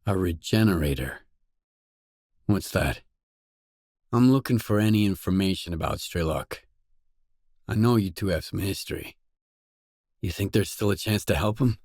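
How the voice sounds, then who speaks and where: A determined male survivor in a video game, deep and slow, matter of fact, guarded, dry studio sound. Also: creaky, a little mellow, no echo. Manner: terse, probing, matter-of-fact. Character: guarded, determined male stalker mercenary in post-apocalyptic zone. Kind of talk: isolated video game dialogue lines.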